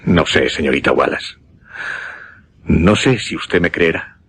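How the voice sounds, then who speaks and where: An actor with a Castilian Spanish accent playing a grave, horrified gentleman who recounts a ghastly death in a dramatic, halting way for a suspense radio play. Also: very deep voice, animated, a little hiss or hum, exaggerated voice. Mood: solemn, hesitant. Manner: dramatic, halting, dwelling on each dreadful word.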